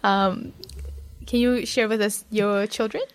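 A woman hosting an interview, asking questions gently and encouraging her guest with soft murmurs. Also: medium pitch, fairly even intonation, slow pace, no echo. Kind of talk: casual conversational interview.

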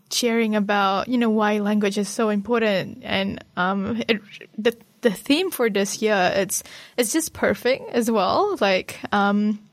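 A woman host whose first language is Malay, friendly and quick to laugh. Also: medium pitch, no echo. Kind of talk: casual radio chat.

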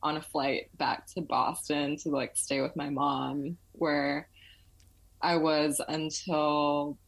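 Young woman guest, low pitched, chatty and loose, lots of "like" and "um". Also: level tone, takes it slowly, low-quality recording. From Malaysia. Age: 20s. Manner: chatty, filler-heavy, laughs often. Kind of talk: casual interview chat.

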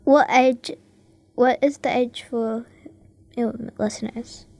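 A woman's voice: fairly high pitch, steady tone, slow, darkish tone. A woman hosting a radio talent show, carefully reading out scripted introductions and prepared interview questions.

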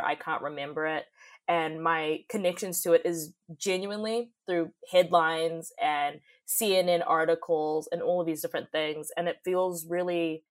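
A young woman, reflecting thoughtfully and expressively in a conversational interview. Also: lowish voice. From New Zealand. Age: young adult. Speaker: podcast guest, youth advocate and poet.

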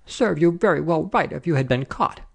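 A man's voice: a little high, animated, a little fast, vocal fry, pitched above the performer's own voice. A man voicing an indignant French café woman in a short story, screaming and scolding as she repeats herself.

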